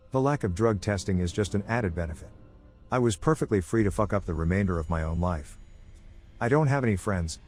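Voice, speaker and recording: A man with a fairly low voice narrates a scripted horror story in the first person. Music plays softly underneath his reading.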